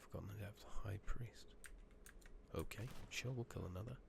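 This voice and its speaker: A soft-spoken man giving ASMR game commentary, often dropping to a whisper, murmuring unhurriedly to himself. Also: lowish voice, moderate pace, gravelly, background noise. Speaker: ASMR gaming streamer. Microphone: USB condenser microphone on a tripod with pop filter.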